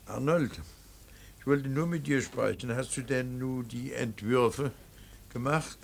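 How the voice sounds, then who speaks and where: An actor playing a stern, anguished middle-aged father in a radio drama, speaking in a deliberate, halting and emphatic way. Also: mid-range voice, gravelly, slight background noise. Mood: strained reproach. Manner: deliberate, halting, emphatic, swinging from muttered to pleading. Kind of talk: German radio drama acting.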